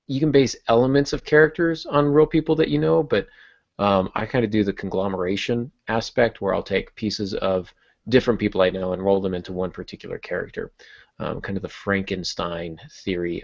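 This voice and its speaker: A man's voice: fairly low pitch, brisk, gravelly, no room sound. Man, podcast host, casual rambling talk, self-deprecating, dry close sound, slightly muffled lo-fi audio. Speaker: podcast host and fiction author. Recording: dull, dry, lo-fi online call audio.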